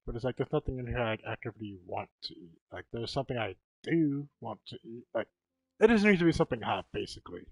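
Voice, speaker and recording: A man's voice: medium pitch, expressive, fast, vocal fry, a little mellow, breathy edge, dry recording. A man livestreaming a game, musing aloud in a casual, rambling way. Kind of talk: casual live gameplay commentary.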